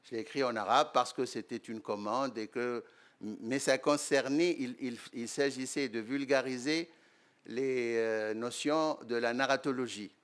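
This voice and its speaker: Measured Tunisian man, smooth voice. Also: mid-range voice, steady tone, fairly bright tone. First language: Arabic. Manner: measured, hesitant with many fillers. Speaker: guest professor and writer. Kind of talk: conference interview answers. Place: lecture room.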